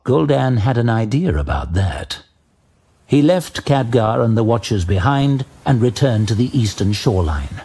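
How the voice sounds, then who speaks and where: A man reading dramatic scripted fantasy narration as an audiobook narrator, measured and deliberate. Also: mid-range voice, big pitch swings, warm tone, husky.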